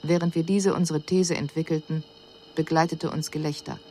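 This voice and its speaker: An actress playing a detached extraterrestrial envoy in a radio drama, giving an analytical report in precise, sober, formal language. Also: female voice, low voice, little pitch movement, moderate pace, dark tone, lightly acted. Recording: faint hiss on 1980s radio production.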